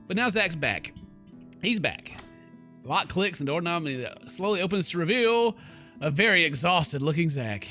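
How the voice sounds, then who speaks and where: A man's voice: a little high, very animated, moderate pace, bright, forceful tone, faint music behind. A man giving casual, sarcastic, joking commentary as he plays a game. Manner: playful, sarcastic, exclamatory. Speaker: gaming YouTuber, let's player.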